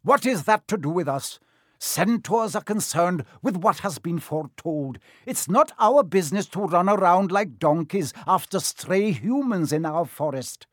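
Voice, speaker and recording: A man's voice: on the high side, expressive, normal speed, no echo, studio quality. A man voicing a proud, stern male centaur in a storybook, thundering formal accusations. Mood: scornful. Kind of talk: storybook character voice.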